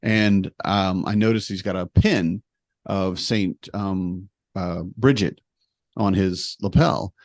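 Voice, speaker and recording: A man telling a travel story in casual conversation, animated and poking fun at himself. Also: deep voice, slow pace, no echo, studio quality. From United States. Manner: animated, self-deprecating, humorous storyteller.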